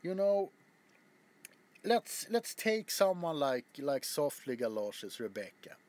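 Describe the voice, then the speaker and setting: A man's voice: a little high, big pitch swings, slow, a little mellow. A man chatting casually to his viewers as a video host, rambling with frequent stammers, restarts and casual swearing. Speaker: ASMR YouTuber.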